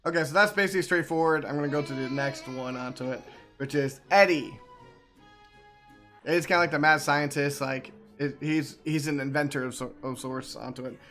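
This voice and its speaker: Rambling male game streamer, slightly high voice. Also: brisk, fairly bright tone, soft background music. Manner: rambling, repeats his phrases. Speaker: gaming streamer. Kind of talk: casual game commentary.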